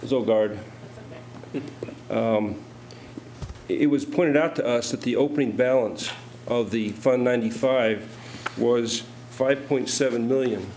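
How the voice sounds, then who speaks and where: A man's voice: medium pitch, slow pace, a little mellow, a little hiss or hum, poor audio. A middle-aged man alderman questioning budget figures with skeptical, pointed challenges during a city council debate, speaking into a desk microphone.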